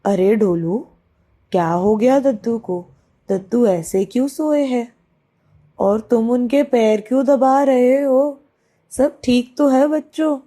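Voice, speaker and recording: A woman's voice: fairly high pitch, takes it slowly, dark tone. A woman voicing a helpful, fussing shopkeeper uncle in a children's cartoon story, with a homely advising manner. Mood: puzzled concern.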